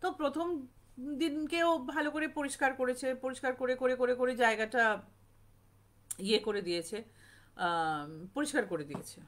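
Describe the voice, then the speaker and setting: A woman's voice: sits high, moderate pace, pauses often. A woman telling a ghost story informally, conversationally repeating phrases for emphasis and lightly acting out dialogue.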